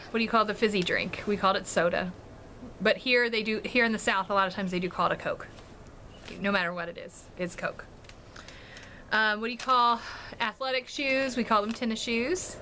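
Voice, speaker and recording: Vlogger, female, light Chesapeake accent, talks in a bright, higher voice, giggly and rambling. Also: a little hiss or hum. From Washington, D.C. metro area. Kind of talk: casual chat to camera. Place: home in North Carolina.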